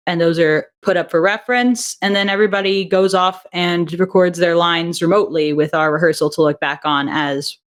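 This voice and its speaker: A woman, an audio drama writer and director, talking casually in an interview, chatty with frequent restarts and easy laughter. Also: sits low.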